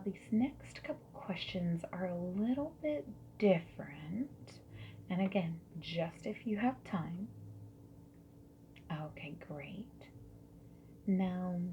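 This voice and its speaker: Soft, whispery woman doing slow ASMR. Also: fairly low pitch. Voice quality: soft, breathy, often dropping to a whisper. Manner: gentle, friendly, unhurried customer-service politeness. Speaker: ASMR performer playing a survey interviewer. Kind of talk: soft-spoken ASMR roleplay. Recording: lo-fi, noisy with keyboard typing and clicks. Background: mechanical keyboard typing and clicks.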